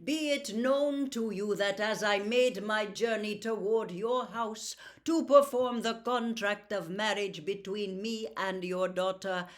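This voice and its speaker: A woman's voice: mid-range voice, slow, few pauses, lightly acted. A woman voicing a proud, cool young noble girl in a storybook, speaking in a formal, lofty way. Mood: formal, declaiming.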